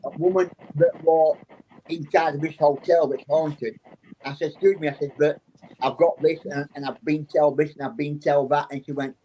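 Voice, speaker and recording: A man's voice: on the high side, little pitch movement, normal speed, mellow, slight background noise, rough recording. A man from England with a South Yorkshire accent, a psychic guest telling rambling anecdotes in a casual interview, often restarting his sentences.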